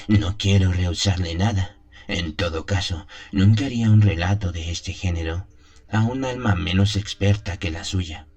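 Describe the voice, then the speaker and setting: A man playing a haunted, wistful seventy-year-old country priest, confessing his past in a deliberate, pause-filled radio drama monologue. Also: low-pitched, darkish tone. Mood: confiding, wry. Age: 70s as played. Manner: chuckles, sighs, deliberate storytelling with pauses.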